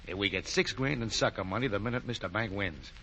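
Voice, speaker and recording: A man's voice: lowish voice, fast talker, bright, forceful tone, a little hiss or hum, poor audio. An American actor playing an eager, slangy gambler's henchman in a 1940s radio drama. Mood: conspiratorial.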